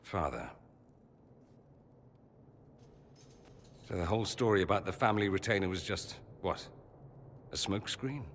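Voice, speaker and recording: A proud young nobleman mage from a video game, theatrical and sarcastic. His bright, forceful voice swings widely in pitch and rings in a long hall reverb, articulate and defiant. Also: expressive, fairly quick, slight background noise. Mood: cold sarcasm. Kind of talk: dramatic video game voice acting.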